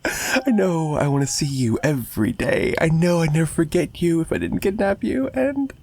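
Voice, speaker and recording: A man playing an obsessive, lovesick mafia boss in an intimate ASMR roleplay, menacing yet pleading, with halting, repetitive speech that drifts into breathy whispers. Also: fairly high pitch, animated, a little mellow, a little hiss or hum. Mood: yearning, obsessive.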